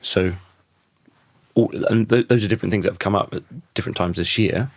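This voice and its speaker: Casting: croaky man in his thirties, low voice, thoughtful and halting, dry close-miked sound. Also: steady tone, no echo. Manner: thoughtful, restarts sentences often. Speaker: podcast guest. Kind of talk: reflective conversational interview.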